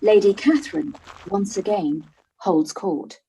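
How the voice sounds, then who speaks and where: A woman's voice: mid-range voice, unhurried, dark tone, a little hiss or hum, low-quality recording. A woman reading the stage directions as narrator of a radio play.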